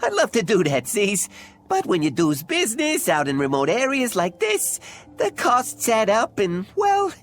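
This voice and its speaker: A woman's voice: mid-range voice, wildly swooping pitch, unhurried, faint music behind. A woman voicing a chipper, polite little male merchant creature with folksy sales patter, in a video game. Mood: sheepish. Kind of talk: video game cutscene acting.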